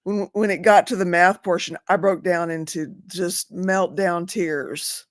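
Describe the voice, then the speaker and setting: A woman in her fifties in a casual recovery meeting chat, chatty and quick to laugh, asking follow-up questions. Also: lowish voice, fairly even intonation, no room sound, clean studio sound. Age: 50s.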